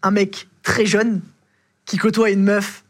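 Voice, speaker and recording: A man's voice: high-pitched, little pitch movement, takes it slowly. Man telling driving stories casually. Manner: rambling, heavy slang and fillers. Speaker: rideshare driver guest. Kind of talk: casual storytelling.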